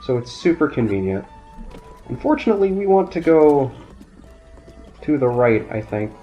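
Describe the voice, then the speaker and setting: A man calmly commentating his gameplay, thinking aloud, his voice nasal and raspy from a sinus infection. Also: mid-range voice, moderate pace. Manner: calm, explanatory, thinking aloud. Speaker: let's play commentator. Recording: dull lo-fi audio, faint game music.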